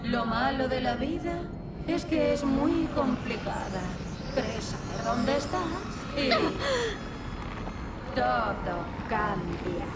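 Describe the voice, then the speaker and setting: A woman's voice: a little high, slow pace, pauses often. A woman playing a mocking, seductive sinister villainess in a video game, falsely sweet. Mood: taunting. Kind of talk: video game villain acting, European Spanish dub. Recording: echoey, very noisy game ambience.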